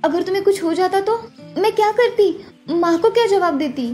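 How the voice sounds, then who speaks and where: A woman's voice: extremely high pitch, brisk, a little mellow, faint music behind, voice pushed up. A woman voicing a young college student in a cartoon story, an elder sister who is curt with her sibling but protective. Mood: worried. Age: young adult. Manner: curt with her sister, anxious when worried.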